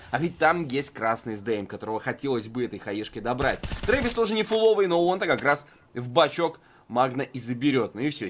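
Male esports commentator over faint game noise. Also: mid-range voice. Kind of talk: live play-by-play game commentary.

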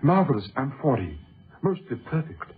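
A man acting in a radio drama as a secretive British archaeologist, hesitant and stammering, diffident but quietly excited about his work. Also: medium pitch, normal speed, lightly acted. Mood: quiet wonder. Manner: hesitant, stammering, politely evasive, old-fashioned educated speech. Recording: muffled lo-fi old radio recording.

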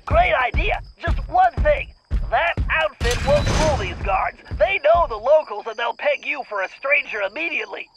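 Squeaky nerdy sidekick, anxious and quick, game music going. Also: male voice. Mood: advising. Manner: quick, fretful, excitable. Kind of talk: video game cutscene acting. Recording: game score underneath.

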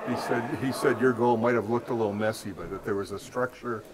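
A male sports reporter asking brisk, short questions in a locker room. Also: lowish voice, steady tone, poor audio. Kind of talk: press question. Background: crowd chatter.

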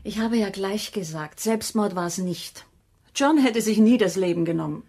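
An actress playing a curt, sour, composed bereaved sister in a German radio crime drama, with a husky voice. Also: female voice, mid-range voice, normal speed, darkish tone. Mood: guarded, curt. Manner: curt, guarded.

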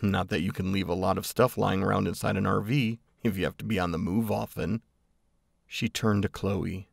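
Gravelly, low-voiced male story narrator. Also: fairly even intonation, normal speed, no echo, studio quality. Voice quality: gravelly, husky.

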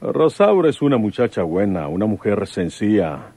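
Folksy, low-voiced rural police chief. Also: male voice, sing-song, normal speed, dark tone, breathy edge, dry recording, exaggerated voice. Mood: fond, hopeful. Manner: folksy, fatherly. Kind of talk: old Mexican radio drama acting.

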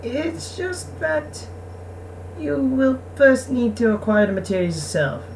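A woman voicing a polite, demure young noblewoman in a visual novel, softly and formally. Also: sits high, big pitch swings, slow pace, roomy sound, pitched above the performer's own voice, full character voice. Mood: courteous, composed. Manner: soft, courteous, formal. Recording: noisy, distant microphone.

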